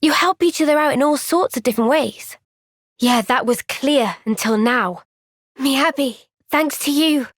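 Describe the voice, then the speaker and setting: Young female game heroine: high voice, slow measured delivery, gentle yet resolute, dry close recording. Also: no room sound. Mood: sincere, earnest. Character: earnest, gentle but firm young woman fighter. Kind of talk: video game cutscene voice acting.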